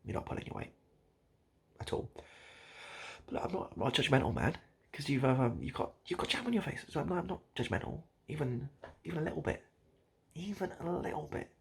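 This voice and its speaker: A man improvising a comic monologue straight to the listener, halting and repeating himself in a mock-earnest, absurd way. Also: on the high side, sing-song, vocal fry, slightly rough. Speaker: online video host.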